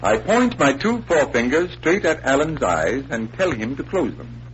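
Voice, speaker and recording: An actor playing a confident, teasing magician detective in a 1940s radio mystery, with theatrical, precise delivery. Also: male voice, medium pitch, very animated, normal speed, husky. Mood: showmanlike, assured. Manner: theatrical, precise, playful taunting. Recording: muffled hissy vintage radio recording.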